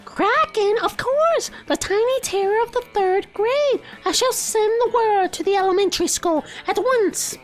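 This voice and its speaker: Very high, haughty mermaid princess. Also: male voice, soft background music. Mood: delighted. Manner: prim, grandiose, drawn-out delivery. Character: haughty young mermaid princess, posh, sweetly ruthless. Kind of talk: video game character voicing.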